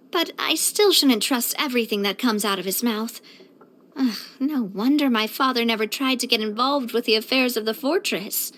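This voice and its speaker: A woman voicing a bright, earnest, sociable young female leader in a video game, chatty and expressive. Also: on the high side. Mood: pensive, wry. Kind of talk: video game character voice lines.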